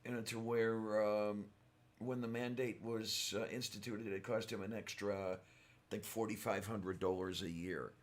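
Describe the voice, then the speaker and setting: Man, hoarse sore throat, unhurried, rambling livestream host, fillers. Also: fairly low pitch, fairly even intonation. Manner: rambling, halting, many fillers. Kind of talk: casual livestream chat.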